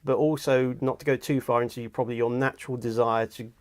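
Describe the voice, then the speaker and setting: A man's voice: mid-range voice, fairly even intonation, fast talker. A British man chatting casually as host and director, in a jokey, talkative manner. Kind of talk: casual chat.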